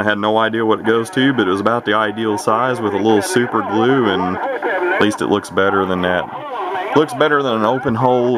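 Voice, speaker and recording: A man giving a casual, unscripted hobbyist demonstration, talking in a halting, rambling, matter-of-fact way. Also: fairly low pitch, very noisy. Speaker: radio hobbyist demonstrating a repaired CB radio.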